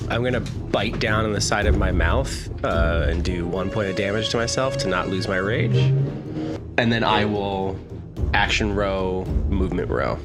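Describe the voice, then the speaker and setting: A man's voice: lowish voice, moderate pace. A man playing a tabletop fantasy game, talking in a casual way. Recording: loud music behind. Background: loud cinematic score.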